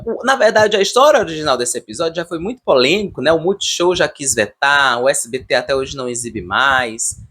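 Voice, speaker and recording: A man's voice: on the high side, fairly bright tone, slightly rough. A Brazilian man giving casual, unscripted commentary as a video reviewer, repeating words and leaning emphatically on his opinions.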